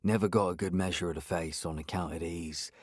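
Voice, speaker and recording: A man voicing a laconic, nearsighted boardwalk local who speaks in short, low, hushed phrases. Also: fairly even intonation, a little fast, dry recording, clean studio sound.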